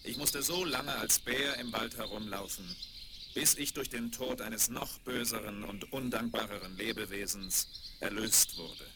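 A man playing a young, earnest prince who speaks calmly in a children's fairy-tale radio play. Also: on the low side, measured pace. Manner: calm, earnest explaining. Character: young noble prince freed from a curse. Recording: very noisy 1970s vinyl record.

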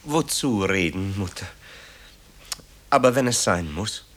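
A restless, evasive son in a radio drama. His voice is low-pitched, with lively, wide-swinging intonation. He is politely placating, guarded and a little dryly ironic. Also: a little slow, full character voice. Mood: evasive, uneasy. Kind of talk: German radio play acting.

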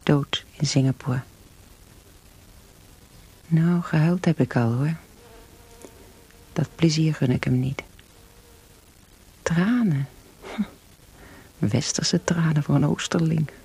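An actress playing a bitter, grieving young married woman in a wartime camp, speaking a dramatic, often hushed inner monologue in a radio drama. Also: sits low, slow pace, pauses often, breathy edge, full character voice, low-quality recording. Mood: scornful.

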